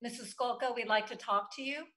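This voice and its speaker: An actress playing a stern FBI agent in a comedy table read, with a dry, businesslike manner. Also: female voice, a little high, fairly quick, fairly bright tone, natural voice. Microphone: video call.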